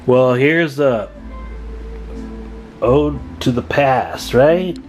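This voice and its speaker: A man's voice: mid-range voice, very slow, darkish tone, faint music behind. A middle-aged man with an American accent, talking casually and informally through a hands-on demonstration, half to himself as he works. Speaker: hobbyist radio repair host.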